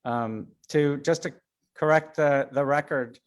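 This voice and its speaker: A man's voice: fairly high pitch, fairly even intonation, unhurried. A man from Chicago giving a casual lecture over a video call, hesitant with many fillers and self-deprecating. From Chicago, United States.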